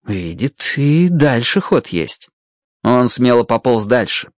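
Animated male storyteller, dry close sound. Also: medium pitch, takes it slowly, dry recording. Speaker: narrator. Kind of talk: expressive scripted children's story narration.

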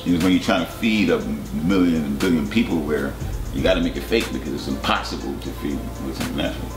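Host, male, 30s, from Jamaica, very low voice, quick rambling chat, roomy studio with music under it. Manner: laid-back, rambling, many "you know"s. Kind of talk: casual interview chat. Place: indoor studio set with couch.

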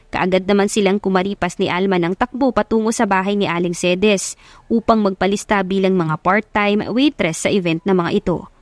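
A woman narrates a love story from a script. Her pitch is medium and the sound is dry and close, with no room around it. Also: dry recording.

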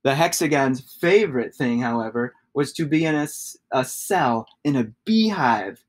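A man's voice: mid-range voice, expressive, normal speed. Man reading a children's storybook. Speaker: storybook reader. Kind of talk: expressive children's read-aloud.

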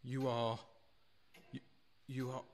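A man's voice: medium pitch, monotone, slight background noise, natural voice. A man acting in a radio play as a self-important, formal financier who is really a flustered servant. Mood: bewildered. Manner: formal, halting. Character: self-important married financier, secretly a butler, flustered and servile. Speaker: actor in an amateur radio play.

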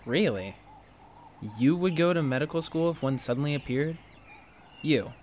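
An actor playing an inquisitive, teasing college student in a fiction podcast, with a prodding, excitable manner. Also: male voice, a little high, normal speed, slight background noise, natural voice. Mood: incredulous. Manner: prodding, animated, incredulous.

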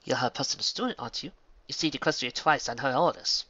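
A man's voice: medium pitch, fast, sharp tone, breathy edge, no room sound, muffled recording, voice pushed up. A man voicing an earnest, intense female pony army captain with a dramatic, ceremonious manner in a storybook reading. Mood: eager.

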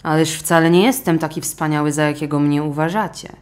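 A woman's voice: low voice, steady tone, brisk, lightly acted. A woman voicing a proud male lion king who makes a pompous show of humility. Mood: indignant, demanding. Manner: pompous, grandly addressing his subjects.

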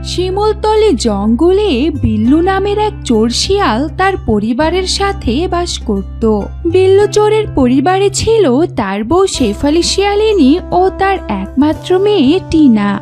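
Casting: woman narrator for a kids' fairy tale; high, animated delivery over soft music. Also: measured pace. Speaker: story narrator. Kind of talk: scripted children's story narration.

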